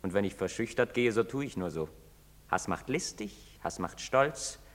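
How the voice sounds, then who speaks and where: An actor playing a bitter, defiant young outcast son in a German stage drama, speaking with theatrical intensity and scorn. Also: male voice, lowish voice, animated, moderate pace. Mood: hateful resolve. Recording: very reverberant hall or stage.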